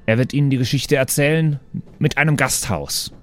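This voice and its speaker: A man's voice: mid-range voice, normal speed, close to an everyday voice. A dwarf posing as a lawyer played by a man in an improvised fantasy game. He is formal and insistent, pressing his points in a mid-range voice.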